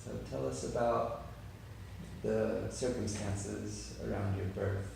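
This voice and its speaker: A man hosting an interview, asking calm, brief questions about the guest's life. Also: fairly low pitch, slow, vocal fry, very echoey, recorded from a distance, a little hiss or hum, poor audio. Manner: calm, brief prompts and reactions. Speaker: interviewer, host. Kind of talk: casual interview.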